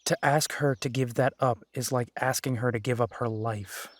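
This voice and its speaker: A man playing a gentle, dry-humored werewolf private eye and younger brother in an immersive roleplay audio drama, speaking softly and wryly. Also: medium pitch, fairly even intonation, moderate pace, natural voice. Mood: thoughtful.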